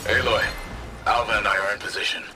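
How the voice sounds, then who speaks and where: An actor playing a grave, steady warrior ally in a video game, speaking in a measured, serious way. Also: male voice, fairly low pitch, lightly acted. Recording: battle noise behind the voice. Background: game combat ambience.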